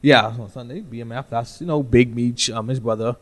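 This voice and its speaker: A man's voice: medium pitch, steady tone, a little fast. A man from the Bahamas co-hosting a casual podcast chat, loose and crude in his joking banter. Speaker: podcast co-host.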